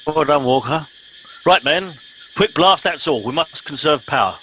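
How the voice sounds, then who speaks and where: Guy doing a pompous captain, bossy, bright voice, bit of hiss, radio play. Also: a little high, animated. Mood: commanding. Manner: brisk, officious, commanding. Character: pompous middle-aged male captain of a bumbling crew. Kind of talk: comedy radio play acting.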